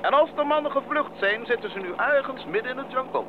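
An actor playing a decisive, concerned mission doctor in a Dutch radio drama. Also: male voice, extremely high pitch, expressive, fast talker. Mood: urgent, grave. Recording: dull recording with clear background noise.

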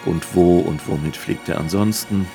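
Impatient investigator, deep voice, radio play, questioning, music behind. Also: male voice, moderate pace, gravelly. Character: impatient male investigator puzzling over theories.

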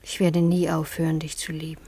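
Breathy, anguished emperor's daughter. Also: female voice, low voice, level tone, dark tone. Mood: fearful, devoted. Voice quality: breathy, often dropping to a whisper. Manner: tender, anguished, halting. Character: devoted, frightened young daughter of a Roman emperor. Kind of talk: theatrical German radio drama acting.

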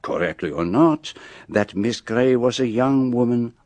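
An actor playing a shrewd, self-assured male detective with a French-speaking accent, speaking in measured, pompous reveals in a radio drama. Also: mid-range voice, animated, darkish tone, no room sound, close to an everyday voice. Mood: accusing.

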